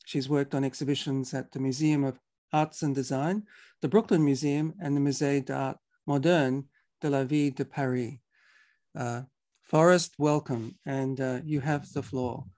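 A man hosting a video-call talk, introducing speakers in a measured, formal way with some fillers. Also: mid-range voice, steady tone, darkish tone. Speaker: webinar host. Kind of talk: moderating an online talk.

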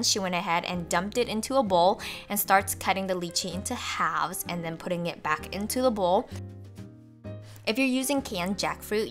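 A woman's voice: medium pitch, fairly bright tone. Young woman narrating a cooking recipe. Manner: cheerful step-by-step instruction. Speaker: cooking channel host. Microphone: voice-over.

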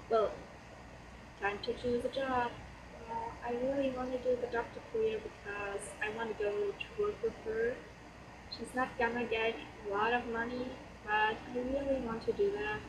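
A teenage girl from Germany with a German accent talks through her game in a casual, hesitant way, with plenty of fillers. Her voice is a bit hoarse from a cold. She sounds distant in an echoey room, with laptop fan noise humming behind her. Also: on the high side. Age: teens. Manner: chatty, hesitant, many fillers. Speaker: let's play host. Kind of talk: casual gameplay commentary. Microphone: laptop mic.

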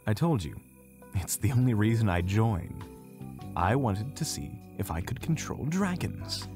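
A man playing an aloof, philosophical mage in a fantasy audio drama, speaking in a deliberate, pompous, detached way. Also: fairly low pitch, big pitch swings, over music. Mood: smug, musing. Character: Phineas, aloof, philosophical male mage with dark ambitions.

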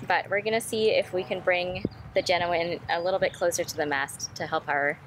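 A woman's voice: lowish voice, fairly even intonation, brisk, noisy background. A young woman co-hosting a sailing vlog, talking casually and laughing easily as she explains boat jobs outdoors on a docked sailboat. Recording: music playing underneath.

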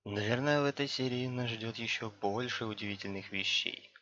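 A hoarse young man casually commenting while playing a video game, slangy and mumbling at times. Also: mid-range voice, normal speed, pushed and bright, lo-fi sound, a little hiss or hum. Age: young adult. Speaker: gameplay commentator. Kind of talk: casual let's-play commentary.

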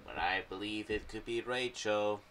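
Young male vlogger, lowish bright voice, casual rambling theory talk in a home room. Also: moderate pace. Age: young adult. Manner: rambling, loosely phrased. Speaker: YouTuber reviewing an audio drama series. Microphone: condenser mic on boom arm with pop filter, slightly off to the side. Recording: slightly distant mic, faint background noise.

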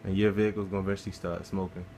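Low flat-voiced man narrating gameplay. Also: very fast, a little hiss or hum. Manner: laid-back, swears casually, talks through his play. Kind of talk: casual game walkthrough commentary.

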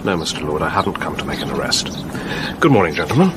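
An actor playing a reserved middle-aged police commander with an English accent, narrating and speaking in a measured, probing way. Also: male voice, very deep voice, sing-song, very noisy. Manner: measured, grave, restrained. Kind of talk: radio drama narration and dialogue.

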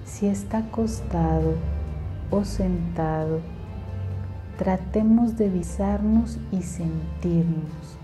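A woman's voice: on the low side, slow, over music. A woman leading a guided meditation in a soothing, instructional way.

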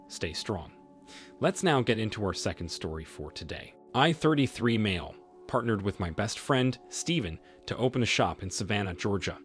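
A man reading reader-submitted stories aloud in a steady scripted narration, then giving his own reaction. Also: on the low side. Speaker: story narrator. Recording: voice-over with soft music bed.

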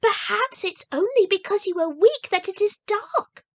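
A woman doing a gleeful, squeaky little girl. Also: lively intonation, moderate pace, no room sound. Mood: eager gleeful. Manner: bubbly, gleeful, breathless rush. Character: excitable eager little girl.

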